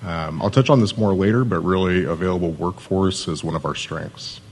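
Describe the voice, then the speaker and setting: Low-voiced monotone Californian man presenting. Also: normal speed, husky. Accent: Californian American. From Southern California, US. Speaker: city economic development manager. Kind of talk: live informational presentation.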